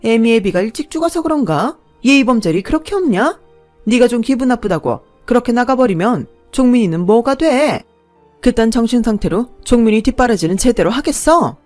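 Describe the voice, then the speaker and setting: A woman voicing a bullying older mother-in-law who scolds and insults in a high, loud voice. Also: lively intonation, a little fast, soft background music, natural voice. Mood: scornful shouting. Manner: shrill scolding, jabbing insults.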